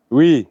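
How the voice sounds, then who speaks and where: A man speaking as a riot police officer in a debate, talking frankly and haltingly and often repeating phrases as he searches for words. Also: mellow, no echo, lo-fi sound.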